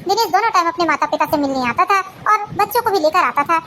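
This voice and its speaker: A woman's voice: very high voice, animated, fast, a bit bright, slight background noise. A woman storyteller narrating a cartoon family drama.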